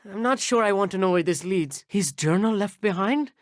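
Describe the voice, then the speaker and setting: An actor playing an earnest, bookish teenage schoolboy wizard in a video game, polite and a little nervous, with precise, slightly formal speech. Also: male voice, high-pitched, expressive, moderate pace, no echo, clean studio sound. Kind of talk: scripted video game dialogue.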